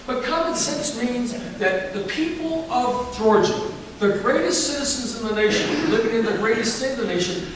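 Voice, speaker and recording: A man's voice: high voice, far from the mic, a little hiss or hum. Emphatic Southern man in an echoey hall. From Alabama. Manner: emphatic, folksy, repetitive. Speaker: candidate for governor. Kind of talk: campaign forum answer.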